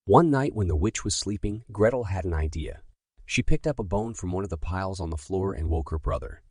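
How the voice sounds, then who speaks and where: A low-voiced man reads a scripted bedtime story for children. His voice has a husky grain, and he tells the tale deliberately and with drama. The sound is close and dry. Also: expressive, darkish tone, no echo.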